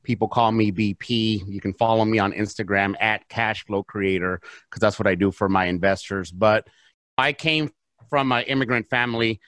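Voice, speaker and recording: Low-voiced American man telling his story. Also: fairly even intonation, moderate pace, a bit bright, no room sound. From south Los Angeles, United States. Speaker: interview guest, real estate investor. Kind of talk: casual personal storytelling in an interview.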